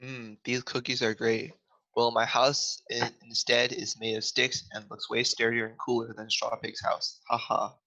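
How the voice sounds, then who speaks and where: A man's voice: mid-range voice, little pitch movement, moderate pace, pushed and bright. A man playing little storybook pig brothers in an amateur script read over a video call. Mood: smug. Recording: muffled video call audio.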